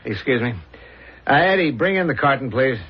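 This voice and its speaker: An actor playing a stern homicide inspector, businesslike and probing, in a 1970s radio drama. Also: male voice, medium pitch, animated, normal speed, a little hiss or hum, natural voice. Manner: businesslike, interrogating. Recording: dull, lo-fi old radio recording.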